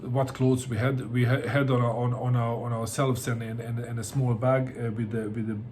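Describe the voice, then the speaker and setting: A middle-aged man from Bosnia talks casually to camera in a mid-pitched voice. He hesitates often and repeats his words as he searches for them. A faint hiss runs behind him. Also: level tone, moderate pace, darkish tone. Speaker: book vlogger.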